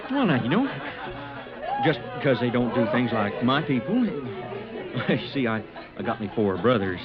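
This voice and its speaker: An actor playing a bashful young cowhand in an old radio western, with a folksy, stammering, blustering manner and a rural Western American accent. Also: male voice, mid-range voice, big pitch swings, unhurried, over a noisy crowd. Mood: nervous, sheepish. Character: bashful, flustered young cowhand, reluctant new groom. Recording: muffled vintage radio recording, music behind.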